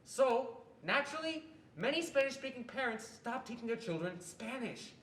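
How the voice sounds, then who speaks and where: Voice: mid-range voice, sing-song, moderate pace, a bit bright. A young performer playing a matter-of-fact narrator who explains history in a scripted stage reading. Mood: explanatory. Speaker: student reader in a reader's theatre performance. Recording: echoey room, distant mic.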